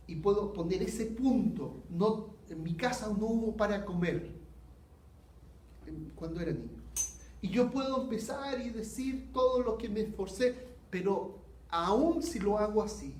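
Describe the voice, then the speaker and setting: Chilean man, high-voiced pastor, slow earnest sermon, roomy echo. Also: darkish tone. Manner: earnest, unhurried preaching with rhetorical questions. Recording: echoey hall.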